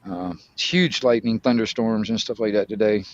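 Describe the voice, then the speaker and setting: A man's voice: fairly low pitch, expressive, normal speed, creaky, lo-fi sound. A middle-aged American man from Florida chatting casually, telling things in a rambling, hesitant way with slangy wording. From Florida, United States. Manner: rambling, hesitant storytelling with slangy, mildly profane speech. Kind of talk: casual chat.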